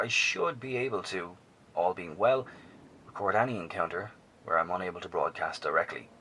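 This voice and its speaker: An actor playing a professor who broadcasts to survivors from a bunker, speaking formally and gravely, often dropping to a hushed tone. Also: male voice, sits low, exaggerated voice. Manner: measured, formal, hushed at times. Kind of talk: horror audio drama acting.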